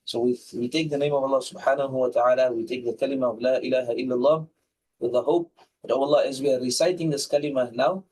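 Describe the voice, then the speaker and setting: A man's voice: mid-range voice, steady tone, quick pace, darkish tone. A man hosting a religious discussion, speaking in rhetorical, parallel phrases as he gives devotional remarks. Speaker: host. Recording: distant mic with some background noise.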